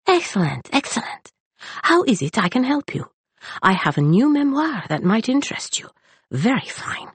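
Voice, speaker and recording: A man's voice: extremely high pitch, very animated, normal speed, fairly bright tone, husky, dry recording, voice pushed up, heavily acted. A woman doing an effusive little librarian man. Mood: delighted. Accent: Spanish. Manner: eager, effusive, lilting with slight lisp. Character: small cheerful foreign-born London librarian.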